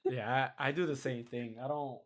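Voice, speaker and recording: A man hosting a casual gaming podcast, chatty and rambling, a bit hoarse from a cold. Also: medium pitch, steady tone, normal speed. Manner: chatty, rambling, self-interrupting. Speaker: podcast host.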